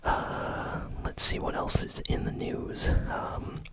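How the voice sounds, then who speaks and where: An ASMR gaming news host who speaks entirely in a whisper. The delivery is breathy, soft and informal, feeling its way through the commentary with a hesitant, conversational manner. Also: takes it slowly.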